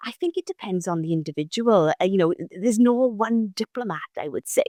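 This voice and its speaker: A woman's voice: mid-range voice, sing-song, a little fast, warm tone, dry recording, clean studio sound. A Welsh woman in her thirties, a former diplomat, talking thoughtfully in a podcast interview with many fillers and restarts. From South Wales.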